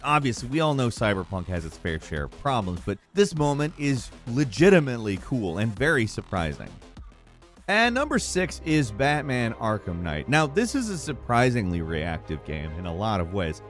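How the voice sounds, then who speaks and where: A man presenting scripted but conversational video game commentary, with emphatic, wry asides. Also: medium pitch. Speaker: video presenter narrating a countdown of video game moments.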